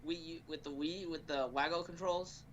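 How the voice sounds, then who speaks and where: A man co-hosting casual gaming talk, halting as he searches for his words. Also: on the high side, fairly even intonation, fairly bright tone, muffled recording, a little hiss or hum. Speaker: co-host of a gaming news show.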